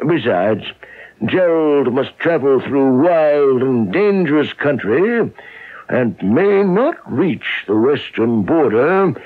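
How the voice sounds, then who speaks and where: Pompous army general, exasperated, slow formal dictation, swooping intonation, radio drama, tinny broadcast. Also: male voice, mid-range voice, big pitch swings, a little hiss or hum. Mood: formal, disdainful. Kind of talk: 1970s radio drama acting.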